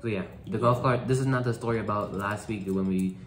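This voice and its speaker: A man's voice: lowish voice, brisk. A man co-hosting a vlog, telling a story informally, repeating himself and bantering as he goes. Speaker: vlog co-host. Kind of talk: casual chat, storytelling. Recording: echoey room, faint background noise.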